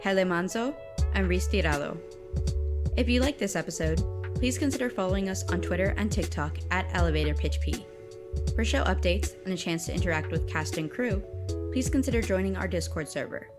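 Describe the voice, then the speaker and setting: A woman's voice: on the low side, animated, a little fast. A woman reading scripted closing credits for an audio drama podcast as an announcement. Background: music.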